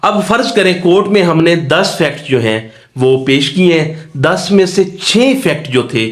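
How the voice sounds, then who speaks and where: A man's voice: a little high, expressive, moderate pace. A man giving an instructional lecture, didactic and repeating key points as he explains. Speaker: law lecturer. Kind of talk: exam-focused lecture mixing Urdu-Hindi and English terms.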